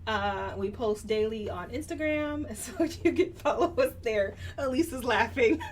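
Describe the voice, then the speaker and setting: A woman's voice: on the high side, unhurried. Female co-host, casual book chat, self-correcting, recorded off-mic with light background noise. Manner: self-correcting, laughs at own slips. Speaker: podcast co-host. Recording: distant mic with faint noise.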